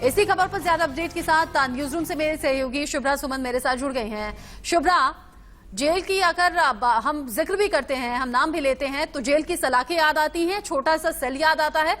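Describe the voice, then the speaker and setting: News anchor, female. High register, television news delivery over faint music. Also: normal speed, a bit bright. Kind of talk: Hindi television news presentation.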